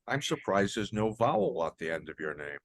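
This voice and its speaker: A man hosting a wellness interview show, halting with many fillers and easy jokes. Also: lowish voice, sing-song, vocal fry, dry recording. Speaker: podcast host.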